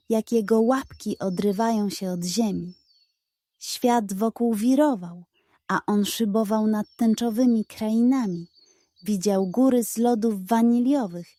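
A woman narrates a children's bedtime story with expressive, scripted delivery. Her tone is soft-edged and mellow, she takes her time, and the recording is close and dry. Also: mid-range voice, no echo.

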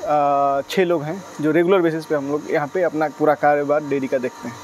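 A man in his thirties answers interview questions outdoors at night, his voice sitting fairly high for a man. He explains at length, stopping often on "uh" and slipping in English business words. Faint crickets and outdoor noise sit behind him. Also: fairly even intonation, brisk, a little mellow. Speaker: dairy business partner. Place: outdoors at night beside a delivery van.

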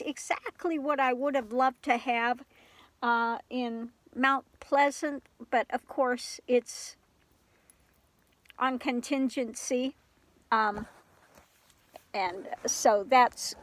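An older woman chatting casually into her phone inside a camper, stuffed up with a cold and clearing her throat often. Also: on the high side, no echo. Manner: rambling, frequent throat clearing and soft laughs. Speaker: vlogger. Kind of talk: casual chat to camera. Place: inside a small camper van at night. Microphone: phone camera at arm's length.

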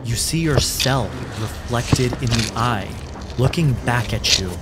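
Male game master narrating over clear music. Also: mid-range voice. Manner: descriptive, deliberate storytelling. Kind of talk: dramatic narration of a tabletop game. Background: music behind the voice.

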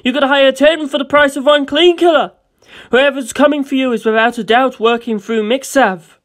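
A jittery underworld arms supplier, as a man puts him on, rattling off his lines in a raised, excitable rush. The voice sits noticeably higher and louder than the reader's own. It is a wired comedy-sketch crook with no calm in him. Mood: agitated. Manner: rapid, raised, excitable delivery.

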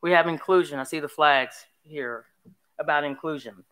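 Older female commencement speaker, forceful and resonant, earnest delivery, emphasising key phrases at a podium. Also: low-pitched, normal speed, clean tone. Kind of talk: formal inspirational public speech. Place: on stage in a large hall at a graduation ceremony. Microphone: podium microphone.

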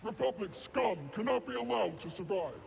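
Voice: mid-range voice, moderate pace, gravelly. An actor voicing a rigid, obedient battle droid in a video game, clipped and emotionless, through a robot filter. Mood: stern, robotic. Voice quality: electronic, grating.

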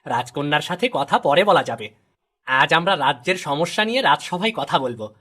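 A man's voice: on the high side, expressive, moderate pace, bright, forceful tone. A man voicing a loyal, deferential royal minister in a storybook cartoon, speaking formally and dutifully. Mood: questioning, respectful.

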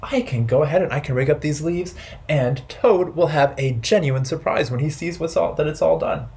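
A man's voice: fairly high pitch, normal speed, vocal fry, a little mellow. A man voicing a kindly, earnest storybook frog or toad who talks to himself as a good friend. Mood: pleased. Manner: earnest, self-addressing, storybook delivery.

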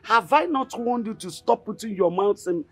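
A woman's voice: medium pitch, lively intonation, normal speed, no echo, soft background music, close to an everyday voice. An actress with a Nigerian accent playing a bossy, protective, emotional village mother in a film drama. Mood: scolding. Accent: Nigerian Igbo-language region. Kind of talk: Nollywood-style film drama acting.

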